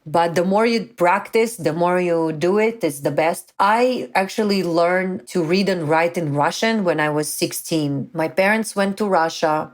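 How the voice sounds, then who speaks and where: A woman's voice: on the low side, unhurried, few pauses. A woman talking as a podcast guest, telling stories casually with lots of "like" and "you know". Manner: lively storyteller, frequent "like" and "you know". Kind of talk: casual conversational interview.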